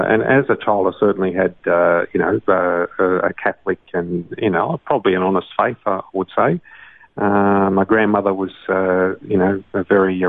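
An Australian man talks through his story in an interview, stopping and restarting often and filling pauses with "uh". His voice sits low and gravelly. It comes through a dry, narrow, phone-like line. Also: no echo. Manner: hesitant, many uh fillers. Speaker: guest, doctor and songwriter. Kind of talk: casual interview.

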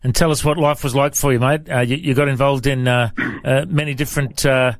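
A man's voice: mid-range voice, fairly even intonation, brisk, dry recording. A male podcast host, interviewing a guest in a friendly, informal way. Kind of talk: casual interview.

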